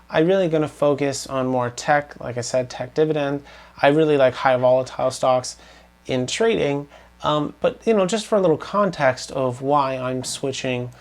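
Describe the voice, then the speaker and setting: A young man with a slightly scratchy voice giving a rambling, earnest update on his investments to camera, full of ums and restarts. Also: on the high side. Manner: rambling, filler-heavy, earnest. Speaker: finance vlogger. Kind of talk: casual portfolio update to camera.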